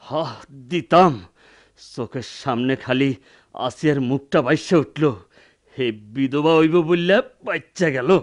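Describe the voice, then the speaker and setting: An actor playing a wounded young peasant in a Bengali radio drama, dazed, pained yet defiant, with a rural Bangladeshi dialect. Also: male voice, a little high, unhurried, natural voice. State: dazed, in pain.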